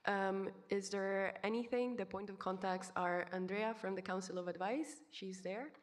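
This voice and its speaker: A hoarse woman on a board giving short, halting announcements at a meeting. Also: medium pitch. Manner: hesitant, halting. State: hoarse, low on voice. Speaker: board member.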